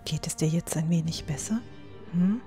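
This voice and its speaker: A woman playing a tender-hearted young dwarf in an audio drama, talking gently and soothingly. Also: low voice, moderate pace, faint music behind, close to an everyday voice.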